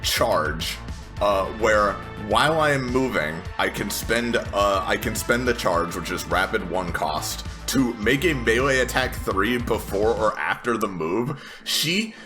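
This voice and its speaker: A man playing a tabletop game, casually declaring his moves in a wry, halting way. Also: lowish voice, animated. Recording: clear music behind the voice.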